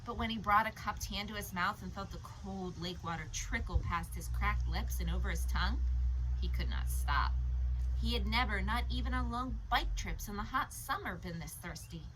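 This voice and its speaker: A woman narrating a novel as a read-aloud, in an expressive audiobook style. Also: medium pitch, moderate pace, low-quality recording. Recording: noticeable background noise.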